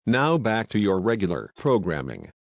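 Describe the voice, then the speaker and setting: A man's voice: on the low side, huge pitch swings, moderate pace, creaky, no echo, muffled recording, exaggerated voice. A man playing a television news broadcaster reading a scripted sign-off. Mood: formal. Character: male TV news broadcaster signing off.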